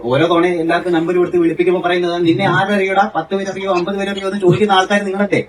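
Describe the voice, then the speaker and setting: A man's voice: high voice, little pitch movement, racing. A man from Kerala with a local accent, talking casually in a sarcastic, confrontational way on a noisy recording. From Kerala, India. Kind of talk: casual critical commentary and phone argument.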